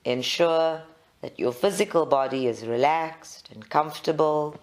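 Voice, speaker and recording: A meditation leader, giving measured guided instructions in short phrases with long pauses. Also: medium pitch, rough recording.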